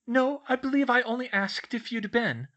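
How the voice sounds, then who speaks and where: Man voicing a high-pitched, brisk, clever schoolgirl. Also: sharp tone, highs cut off. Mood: smug, casual. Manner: brisk, explanatory, matter-of-fact. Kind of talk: audiobook character voice.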